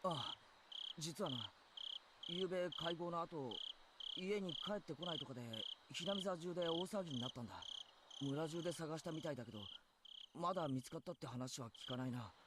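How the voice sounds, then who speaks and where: Man acting an earnest, fast-talking teenage boy. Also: a little high, animated, very noisy, close to an everyday voice. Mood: uneasy. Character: earnest, loyal teenage boy, protective friend. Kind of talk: Japanese visual novel voice acting.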